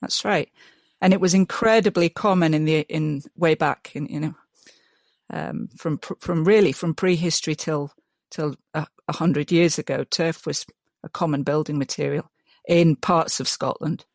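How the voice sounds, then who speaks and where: A woman's voice: sits low, fairly even intonation, moderate pace, no echo. Enthusiastic woman, dry close sound. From Yorkshire, England. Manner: enthusiastic, restarts often, many ums. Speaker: guest, mud mason. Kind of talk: casual interview chat.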